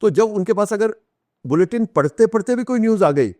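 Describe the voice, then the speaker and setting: Indian guy telling stories on a podcast, bit high pitched, hops between hindi and english, really dry sound. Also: animated, brisk, darkish tone, dry recording. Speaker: author, podcast guest. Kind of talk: conversational storytelling in an interview.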